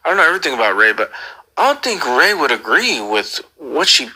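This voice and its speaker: Bright, forceful-voiced man calling in. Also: a little high, sing-song, brisk, poor audio. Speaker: caller. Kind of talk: casual phone chat, earnest questioning.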